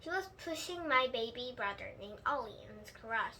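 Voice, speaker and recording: Female storybook reader: high-pitched, bright, expressive, noticeable background noise. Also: moderate pace. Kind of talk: expressive children's book read-aloud.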